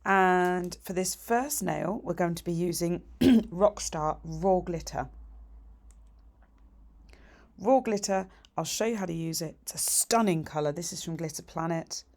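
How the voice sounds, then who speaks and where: A middle-aged woman with a croaky voice, chatting her way through a nail tutorial voiceover with practical, cheerful asides. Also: lowish voice, moderate pace, few pauses, a little mellow. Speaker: nail technician teaching a tutorial.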